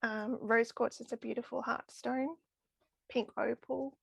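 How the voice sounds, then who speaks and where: Woman, husky, fairly high voice, losing her voice, chatty podcast guest. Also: steady tone, measured pace, fairly bright tone, no room sound. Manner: enthusiastic, chatty with frequent laughs. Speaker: crystal healing guest. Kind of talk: casual podcast interview.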